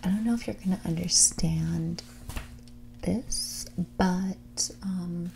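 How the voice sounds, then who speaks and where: A woman playing a snobby, dismissive rich young woman in a whispered and soft-spoken ASMR roleplay, condescending and ditzy, saying "like" often. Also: lowish voice, slow pace, background noise, exaggerated voice. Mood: bored, condescending. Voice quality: breathy whisper mixed with creaky soft speech. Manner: ditzy, condescending, hesitant delivery full of "like".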